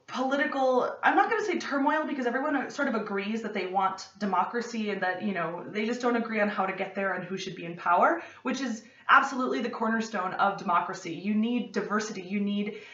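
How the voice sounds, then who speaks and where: A young American woman hosting her podcast, chatting excitedly to camera from her home study and rambling into asides. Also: medium pitch. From United States. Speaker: podcast host. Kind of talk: casual chat to camera. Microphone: camera at desk distance. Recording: roomy, slightly distant, dull lo-fi.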